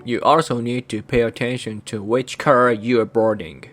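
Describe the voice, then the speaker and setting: A man with a Japanese accent, a native Japanese speaker, hosting a channel and narrating a scripted guide in even, careful short phrases. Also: mid-range voice, moderate pace, faint music behind. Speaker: channel host.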